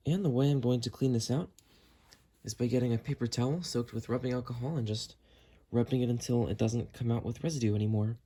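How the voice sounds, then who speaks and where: Guy doing a casual diy walkthrough, mellow mid-pitched voice, explains stuff while he works. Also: fast talker. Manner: explanatory, talking while working with hands. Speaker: host of a how-to cleaning video. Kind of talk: casual hands-on tutorial narration.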